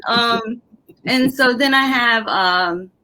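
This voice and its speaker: Relaxed, slow-paced American woman in her forties, casual guest voice. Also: a little high, low-quality recording. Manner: unhurried, casual, laughs easily. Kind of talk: casual interview.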